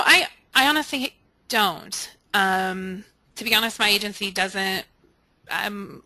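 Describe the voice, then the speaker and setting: A woman with a bright, forward voice runs an informal software training session. She sounds close and dry, with little room around her. She answers questions frankly and helpfully, often thinking aloud as she works. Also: mid-range voice, gravelly, dry recording, poor audio. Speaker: software trainer leading a webinar and taking questions. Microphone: conference call or webinar audio.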